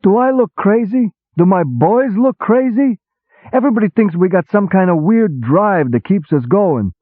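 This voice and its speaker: A man's voice: high-pitched, normal speed, dry recording, voice pushed up. A man voicing a brash, sarcastic army boss who talks like a streetwise gangster. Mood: outraged. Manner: sarcastic, excitable, shouting, streetwise gangster talk. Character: loud brash middle-aged male army boss. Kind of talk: audiobook character voice. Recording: tinny phone-like sound.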